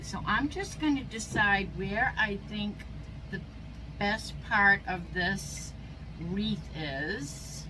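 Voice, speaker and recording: A woman with a stuffy nose and a slightly squeaky voice, chatting casually as she narrates each step of a craft project. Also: noisy background. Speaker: craft channel host. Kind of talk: casual how-to crafting chat.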